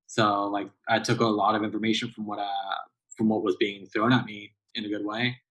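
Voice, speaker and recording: Thirties guy, kinda low voice, lots of "like" and "um", laid back rambling chat. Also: flat delivery, normal speed, fairly bright tone, low-quality recording. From Southern California. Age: 30s. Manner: rambling, many fillers and restarts. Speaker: barista guest. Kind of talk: casual interview chat.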